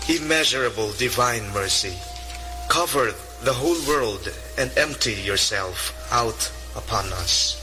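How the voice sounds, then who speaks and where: A man reciting a prayer as a reader, solemn and measured in his delivery. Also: mid-range voice, low-quality recording.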